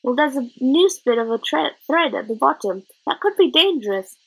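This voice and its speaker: A woman's voice: high-pitched, very animated, moderate pace, gravelly, husky, voice pushed up, full character voice, low-quality recording. Woman doing a cheerful small boy who was a rat. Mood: helpful. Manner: chatty, earnest, working-class grammar.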